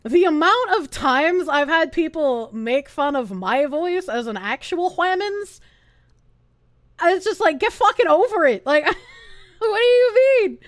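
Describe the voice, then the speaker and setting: High, lively sarcastic woman streamer. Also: unhurried, no room sound, studio quality. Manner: sarcastic and animated. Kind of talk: casual reaction commentary.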